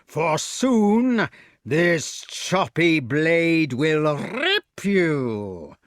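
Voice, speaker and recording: A man voicing a bullying, easily enraged giant in a storybook, with a drawn-out, menacing delivery. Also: a little high, sing-song, fairly bright tone, no echo, clean studio sound, voice pushed up, full character voice. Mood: menacing, sneering.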